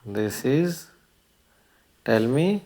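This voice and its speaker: A man's voice: mid-range voice, big pitch swings, extremely slow, dark tone. A man presenting a children's lesson in short, simple phrases, often prompting listeners with questions. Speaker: presenter of a children's learning video. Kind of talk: simple educational narration for young children, asking questions.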